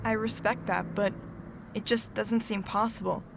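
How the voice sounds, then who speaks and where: A woman plays a concerned friend in an audio drama. Her mid-pitched voice is measured and sincere as she reasons things through. Noise can be heard behind her. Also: steady tone, creaky, natural voice. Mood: earnest reasoning.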